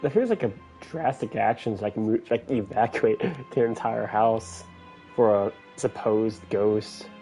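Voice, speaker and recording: A man's voice: fairly low pitch, measured pace, warm tone. A man doing casual game commentary as a streamer, musing aloud in a dry, hesitant way. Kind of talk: casual playthrough commentary, reading some lines aloud. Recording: game music behind.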